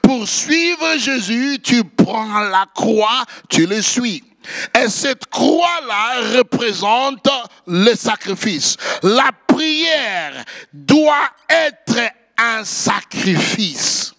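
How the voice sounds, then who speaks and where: A male pastor, a native English speaker, preaching a sermon in French with impassioned shouts and sing-song cadences. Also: extremely high pitch, big pitch swings, very slow, creaky.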